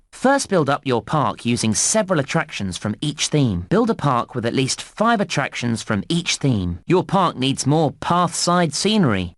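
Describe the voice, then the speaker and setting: A man playing an upbeat, encouraging theme park guide, narrating a children's video game in an animated, instructive way. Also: mid-range voice, dry recording, studio quality, natural voice. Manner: animated, exclamatory, instructive children's-entertainer delivery.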